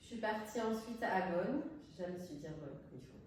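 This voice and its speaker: A woman's voice: mid-range voice, expressive, normal speed, a little mellow. A middle-aged woman from southern France speaking off the cuff in an interview, hesitating and searching for her words. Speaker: tourist office director, interviewee. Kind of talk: spontaneous interview talk. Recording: very echoey room, distant mic, faint noise.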